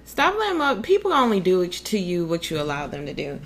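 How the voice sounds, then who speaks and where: A woman co-hosting a podcast, talking in a loud, blunt and profane way while giving relationship advice. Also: on the low side, huge pitch swings, brisk. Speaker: podcast co-host. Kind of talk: casual relationship advice chat.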